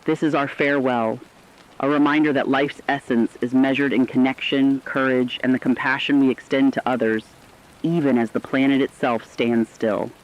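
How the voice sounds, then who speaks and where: Man acting as a solemn compassionate broadcaster, medium pitch, elegiac, earnest, end-of-the-world radio drama, dull recording. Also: level tone, normal speed, natural voice. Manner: earnest, elegiac, carefully phrased delivery. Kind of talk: scripted apocalyptic radio drama monologue. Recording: dull, muffled audio.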